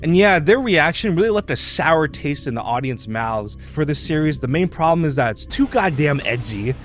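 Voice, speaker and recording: A man's voice: fairly high pitch, big pitch swings, normal speed. A man giving casual, opinionated video commentary in an informal, slangy way that is loose with swearing. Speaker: video essayist. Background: background music.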